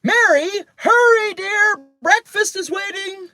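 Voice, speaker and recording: A woman's voice: low voice, very animated, a little fast, no echo. A woman voicing a firm, chiding mother in a storybook, giving instructions to her child. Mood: calling.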